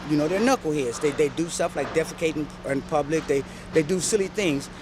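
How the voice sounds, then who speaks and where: A man's voice: a little high, brisk, over music. A homeless man being interviewed outdoors, talking earnestly and reflectively and often ending with "man". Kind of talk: candid street interview. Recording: loud traffic noise outdoors.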